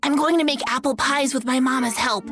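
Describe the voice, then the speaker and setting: A woman voicing an earnest, excitable young boy iguana in a children's storybook, exclaiming his lines emphatically. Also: sits high, fairly quick, faint music behind. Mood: eager.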